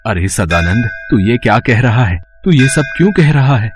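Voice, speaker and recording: A man's voice: medium pitch, big pitch swings, normal speed, background noise, close to an everyday voice. A man voicing a bossy middle-aged village chief who gives orders. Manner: bossy, decisive.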